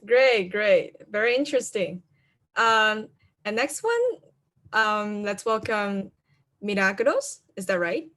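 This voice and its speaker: A woman's voice: medium pitch, animated, slow pace, a bit bright. A woman moderating an online video call, hesitant and full of fillers as she introduces speakers. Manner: hesitant, many fillers, unsure of name pronunciation. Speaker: conference moderator.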